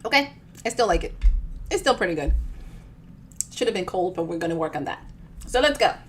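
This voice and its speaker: A woman giving an expressive storytime reading of their own fiction, with chatty, informal asides. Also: fairly low pitch, a little fast, slight background noise. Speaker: author reading their own novel on a live show.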